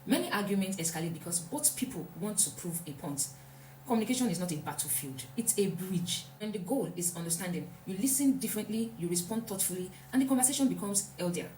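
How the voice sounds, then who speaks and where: A woman's voice: medium pitch, animated, fast, darkish tone. A woman giving an earnest, reflective motivational talk and repeating points for emphasis. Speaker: vlogger. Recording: echoey room, faint hum.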